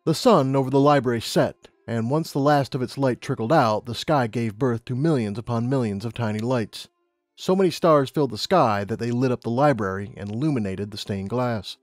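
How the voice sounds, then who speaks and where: A man's voice: medium pitch, brisk, dry recording. Male audiobook narrator, scripted storytelling, dry studio sound, background music.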